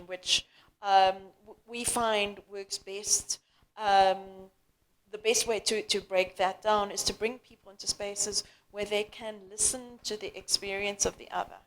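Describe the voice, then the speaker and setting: Woman panelist from South Africa, mid-pitched voice, earnest and measured, some ums and restarts. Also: fairly bright tone, slight background noise, poor audio. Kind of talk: panel discussion.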